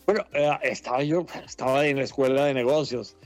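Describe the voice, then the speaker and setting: A man's voice: medium pitch, fairly quick, dry recording. Veteran male journalist, late fifties, easygoing and rambling, faint music bed. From Mexico. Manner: relaxed, laughs often, rambling. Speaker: journalist, correspondent. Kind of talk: casual interview chat.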